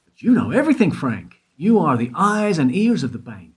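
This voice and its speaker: A man's voice: a little high, very animated, brisk, breathy edge, heavily acted. A man acting in a radio play as a wry investigator, questioning in a probing, insistent way.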